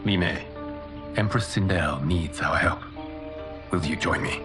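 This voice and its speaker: An actor playing a calm, noble warrior protector in a game cutscene, speaking in a measured, formal and solemn way. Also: male voice, low-pitched. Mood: solemn, earnest. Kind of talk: dramatic video game cutscene acting. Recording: orchestral game music behind.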